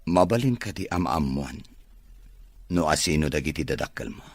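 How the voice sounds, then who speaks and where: A man's voice: extremely low pitch, lively intonation, moderate pace, no echo. A man acting a caring, well-off boss and expectant father in an Ilocano radio drama. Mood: gentle, consoling. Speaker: voice actor in an audio drama.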